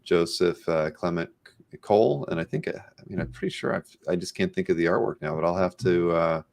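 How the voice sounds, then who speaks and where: A man's voice: low voice, fairly quick, gravelly, a little mellow. A man hosting a casual live interview show, chatting informally and with enthusiasm. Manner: informal, enthusiastic, reads out viewer comments. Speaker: host of a live comic art show.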